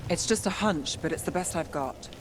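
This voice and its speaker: A woman's voice: fairly low pitch, moderate pace, noisy background, lightly acted. A woman voicing a weary, guarded detective with dry, understated wit in a fantasy video game. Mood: focused, businesslike. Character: weary, wry, guarded young female detective in a fantasy city.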